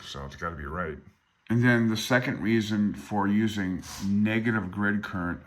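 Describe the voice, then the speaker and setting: An older man with a low-pitched voice and a sharp, forward tone works through a technical text aloud. He presents it informally as a hobbyist, often breaking off into unsure, self-effacing comments of his own. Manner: hesitant, self-deprecating, unsure of himself. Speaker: amateur radio hobbyist presenter. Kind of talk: informal tutorial, reading aloud from a handbook with asides.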